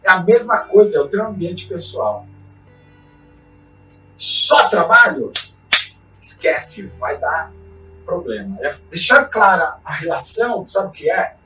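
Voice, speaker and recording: A man's voice: high voice, animated, slow, long pauses, bright, forceful tone. A man from Chile, a mountaineer giving an earnest, reflective motivational talk and often tagging his sentences with short questions. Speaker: mountaineer and expedition guide. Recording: roomy sound from a distance with faint music.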